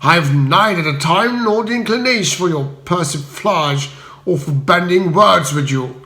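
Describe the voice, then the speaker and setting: A pompous, bullying English lord from a comic audiobook, acted out by a man, snapping out venomous orders in a loud, raised voice. He is haughty and menacing, and the room rings around him. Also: high voice, normal speed. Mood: furious bellowing. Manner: venomous, bellowing, clipped imperious orders. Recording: roomy echo.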